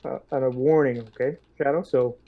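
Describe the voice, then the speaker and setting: A man's voice: medium pitch, steady tone, moderate pace, gravelly, dull audio. A man playing a tabletop game, casually speaking in character as a military lieutenant. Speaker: player in a tabletop roleplaying game.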